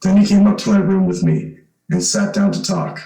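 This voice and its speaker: A man's voice: sits high, huge pitch swings, normal speed, creaky, mellow, echoey room, heavily acted, low-quality recording. An actor playing an evasive young man pleading his case in an old-time radio suspense drama. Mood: tense narration. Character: young man claiming to be long-lost son, evasive, pleading.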